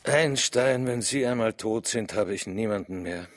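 An actor playing a stubborn, paranoid émigré logician in a radio drama, literal and pedantic. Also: male voice, fairly low pitch, moderate pace, dry recording, clean studio sound, soft background music, close to an everyday voice. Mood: forlorn.

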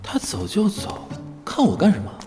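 A man's voice: sits high, huge pitch swings, normal speed, big-room sound, music in the background. An actor playing a cocky, self-mocking young man in an idol group, in an audio drama. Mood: annoyed. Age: young adult.

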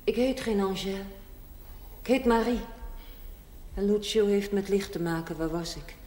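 An actress playing a proud, middle-aged woman with a hard past in a television drama, telling her story bluntly and deliberately. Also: medium pitch, a little mellow, close to an everyday voice. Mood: matter-of-fact. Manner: blunt, matter-of-fact, deliberate. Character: frank, proud 45-year-old former prostitute telling her life. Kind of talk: theatrical monologue in television drama. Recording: echoey, faintly noisy 1970s TV recording.

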